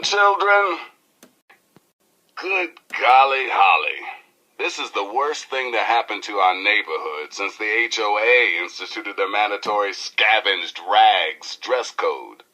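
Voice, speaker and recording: A rebellious American wasteland DJ from a video game. His delivery is forceful and very lively, with unhurried showman phrasing and slangy, preachy patter aimed at his listeners. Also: medium pitch, heavily acted, low-quality recording. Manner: showy, punchy, slangy, preachy. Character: brash, rebellious male wasteland radio DJ. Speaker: radio DJ and host. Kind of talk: high-energy radio DJ patter.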